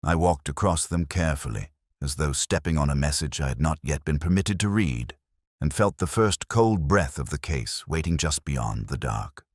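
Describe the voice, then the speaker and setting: A man playing a world-weary, brooding older police inspector, narrating his case in a measured, literary, sombre way for an audio drama. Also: a bass voice, expressive, dry recording, studio quality. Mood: uneasy, pensive. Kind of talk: scripted first-person detective narration, audio drama.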